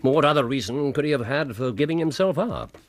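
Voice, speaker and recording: A man plays a brilliant detective in a radio drama, musing aloud and gently teasing. His mid-pitched voice moves in lively swings, deliberate and a little condescending. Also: natural voice. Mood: condescending, pensive.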